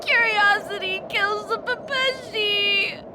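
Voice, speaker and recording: A tiny, terrified game creature, extremely high-pitched and very slow in speech. He pleads and wails in panic, with clear noise behind him. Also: fairly even intonation. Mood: tearful dread. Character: terrified small Pepeshi creature, convinced he is dead. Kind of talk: video game voice acting.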